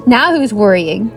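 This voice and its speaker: A woman's voice: sits high, heavily acted. A woman playing an earnest, slightly unsure young female bard in an audio drama, speaking conversationally. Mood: playful. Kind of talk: fan audio drama acting.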